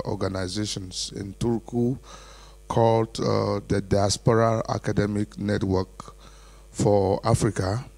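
A man introducing himself as president of an academic network, in a measured, formal way, at a workshop. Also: fairly low pitch, vocal fry, darkish tone. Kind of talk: self-introduction.

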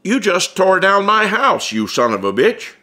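A man puts on a brash middle-aged big shot for an audiobook. He is breezy and needling, with a swaggering delivery. The voice is bright and forceful and moves up and down freely. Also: sits high, very animated, unhurried, voice pushed up, full character voice. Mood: grinning reproach. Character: brash, teasing middle-aged male radio celebrity. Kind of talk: audiobook character dialogue.

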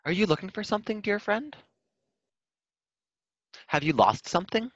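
A man's voice: sits high, big pitch swings, moderate pace, fairly bright tone, no echo, highs cut off, voice pushed up, heavily acted. A man voicing a cheerful, friendly giraffe who cares and helps in a children's storybook. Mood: concerned. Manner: friendly, caring.

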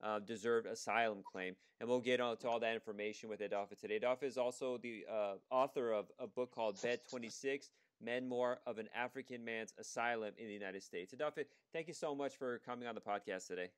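A man's voice: mid-range voice, fairly even intonation, fairly quick, hardly any pauses, clear, resonant tone. A man hosting an interview podcast as an immigration attorney, talking casually with many fillers and restarts. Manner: conversational, with frequent fillers and restarts.

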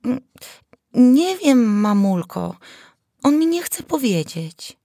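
A woman's voice: fairly high pitch, big pitch swings, takes it slowly, warm tone, no echo, studio quality, voice pushed up, full character voice. A woman voicing a sweet, trusting young village maiden who talks in a hesitant, confiding way in a fairy tale.

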